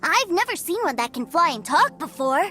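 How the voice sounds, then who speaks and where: A woman voicing an excitable young boy in a game cutscene, eager and quick to take offense. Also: very high voice, soft background music, heavily acted. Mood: excited. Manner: eager, pouty and defensive. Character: excitable young boy who loves toys.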